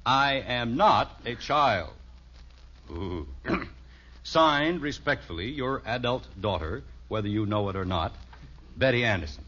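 A man's voice: medium pitch, lively intonation, unhurried, a bit bright, a little hiss or hum. An actor playing a wry, easygoing middle-aged American father in a 1950s radio sitcom, dry and mock-formal. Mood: dry, bemused. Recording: muffled old radio recording.

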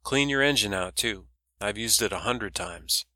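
A man voicing a curt, dismissive boatyard boss who turns smooth and salesy. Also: on the low side, animated, moderate pace, sharp tone, dry recording, studio quality. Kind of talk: audiobook character voice.

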